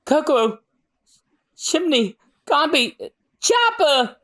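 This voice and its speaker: Someone putting on an excitable manga pirate, voice pushed way up, swooping and melodramatic. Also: mid-range voice, measured pace, voice pushed up. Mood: dismayed. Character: excitable, dramatic pirates and marines in battle. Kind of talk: acted manga dialogue read-aloud.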